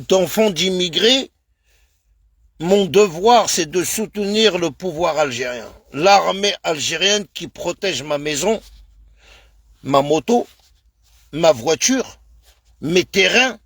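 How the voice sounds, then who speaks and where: A man's voice: sits high, a bit bright, no echo, clean studio sound. A man from France talking in a casual live stream, speaking emphatically and repetitively, calling his viewers brother.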